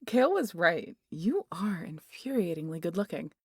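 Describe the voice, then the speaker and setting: A woman acts out a big, brash aunt in an audio drama. Her voice sits on the low side in a dry, close sound. She teases and spins tales with foul-mouthed swagger. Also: sing-song, normal speed, darkish tone, husky, no echo, heavily acted. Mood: teasing. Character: brash, teasing, tall muscular aunt, adult woman. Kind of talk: audio roleplay drama acting.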